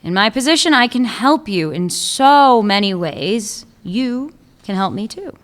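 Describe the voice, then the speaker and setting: A woman's voice: medium pitch, lively intonation, unhurried, natural voice. A woman playing a sly woman police chief who teases an old lover, in a radio play. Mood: persuasive. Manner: teasing, needling, wry. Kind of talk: amateur radio drama acting.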